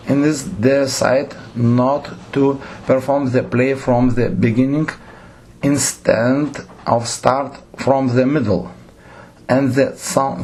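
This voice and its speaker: Man, Lithuanian accent, husky voice, very slow, halting lecture delivery with self-corrections. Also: medium pitch, darkish tone. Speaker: theatre director lecturing. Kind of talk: slow read lecture with numbered points.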